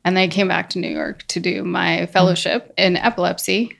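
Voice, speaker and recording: Woman, 30s, neurologist guest; low gravelly voice, warm chatty explainer. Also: flat delivery, fairly quick. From India. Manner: friendly, explanatory, laughs easily. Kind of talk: casual podcast conversation.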